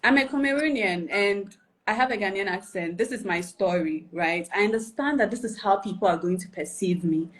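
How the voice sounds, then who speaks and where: A woman's voice: mid-range voice, a little fast. Coaching woman explaining step by step. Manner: explanatory, checks for understanding with "right?" and "okay?"; builds points with repeated questions and enumerations. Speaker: personal branding coach. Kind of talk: instructional live chat. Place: live video stream.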